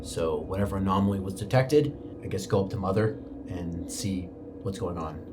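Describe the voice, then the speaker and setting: A man doing a gruff company-man space captain. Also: deep voice, steady tone, normal speed, background noise, voice pushed down, lightly acted. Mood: uncertain. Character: gruff middle-aged male starship captain, company man. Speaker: game master voicing a captain. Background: music.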